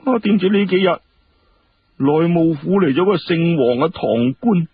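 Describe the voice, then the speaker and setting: A man's voice: expressive, normal speed, slightly rough, dry recording, narrow, tinny audio. A man voicing a timid, distressed household servant in Hong Kong Cantonese, deferential and plaintive, for a told story. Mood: confiding, worried. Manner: deferential, plaintive, high-pitched, hushed.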